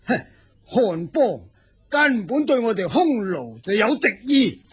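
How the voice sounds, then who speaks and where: A proud, indignant warrior king in a radio drama. His voice is badly roughened and husky. He speaks slowly and weightily, halting between emphatic phrases. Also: very high-pitched, very animated, exaggerated voice. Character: proud, indignant Xiongnu king, husband and father.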